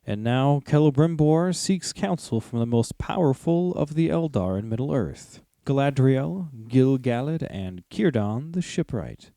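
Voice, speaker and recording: A man's voice: mid-range voice, lively intonation, unhurried, gravelly, few pauses, mellow, no room sound, studio quality. A man with an American accent who hosts a podcast and narrates stories. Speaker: podcast host and drama narrator. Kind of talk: casual discussion and storytelling narration.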